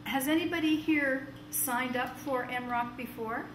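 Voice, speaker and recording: A woman's voice: high-pitched, measured pace. A woman hosting an informal question-and-answer discussion. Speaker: meeting host. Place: echoey meeting room. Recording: echoey room, distant mic, noisy.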